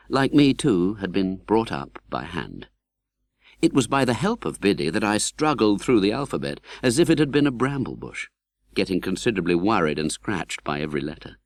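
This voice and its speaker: Low, expressive English male narrator. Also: dry recording, clean studio sound. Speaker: audiobook narrator. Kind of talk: dramatic scripted narration.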